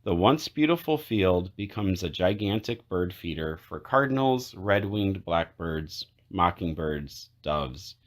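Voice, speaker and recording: A man with a low, deep voice reads a children's storybook aloud. His intonation rises and falls in lively swings, and he sets phrases apart with deliberate pauses. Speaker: children's book read-aloud narrator. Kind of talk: read-aloud storybook narration.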